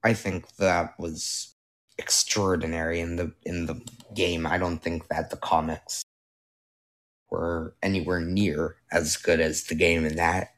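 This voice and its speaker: Young male podcaster with a lowish voice, slow delivery, strained from losing his voice, chatty and self-correcting. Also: flat delivery. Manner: rambling, self-correcting, with filler words. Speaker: podcast host. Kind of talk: casual solo podcast chat. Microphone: new microphone being tested.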